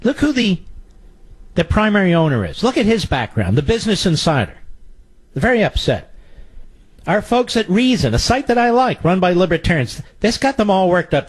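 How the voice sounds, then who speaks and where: Combative, animated talk radio host. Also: male voice, high voice. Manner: combative, sarcastic, emphatic. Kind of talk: political talk radio monologue.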